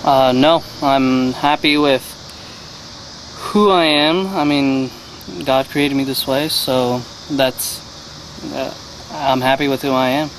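Slow halting young man outdoors. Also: medium pitch, fairly even intonation, a little hiss or hum, rough recording. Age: young adult. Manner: slow, halting, many fillers. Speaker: interviewee. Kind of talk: casual interview. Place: outdoors against a garage door. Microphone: handheld mic held by interviewer.

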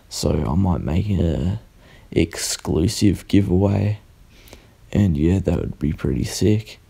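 A young man, sounding croaky and tired, rambling through a casual unboxing voice-over at home and correcting himself as he goes. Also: low voice, warm tone. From Australia. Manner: rambling, self-correcting, unhurried. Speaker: sticker artist vlogger.

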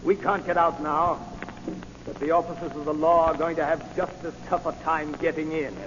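An actor playing a defiant, hot-headed gang boss with a tough, snarling, agitated delivery in 1940s radio style. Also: male voice, fairly high pitch, flat delivery, moderate pace, close to an everyday voice. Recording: noisy muffled old radio, reverberant.

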